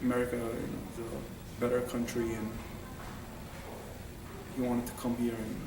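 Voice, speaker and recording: A man's voice: medium pitch, moderate pace. Young Syrian-born man, distant echoey sound. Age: 20s. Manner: relaxed, plain answers with fillers. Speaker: student guest. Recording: echoey room, far from mic, noise.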